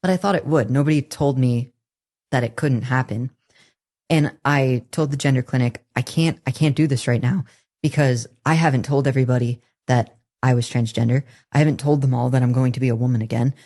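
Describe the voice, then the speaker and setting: A woman in her twenties speaks with a low, somewhat masculine-leaning voice. As a podcast guest, she tells her story earnestly and candidly. She often stops and restarts her sentences. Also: fairly quick, warm tone. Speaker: interview guest. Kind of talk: personal testimony in a podcast interview.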